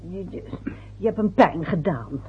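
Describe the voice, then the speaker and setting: An anxious wife who tries softly to calm a family quarrel. Her voice is husky and breathy. The old recording sounds thin and tinny, like a phone line. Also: on the low side, measured pace, heavily acted. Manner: pleading, soft, placating. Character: anxious wife pleading to keep the peace. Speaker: wife trying to make peace. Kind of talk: vintage radio play acting.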